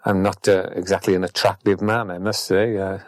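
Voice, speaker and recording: A man's voice: low voice, level tone, brisk, dry recording, studio quality. An older man hosting a radio phone-in, easygoing and a bit hesitant with his answers. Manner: hesitant, easygoing, says mate. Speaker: radio host.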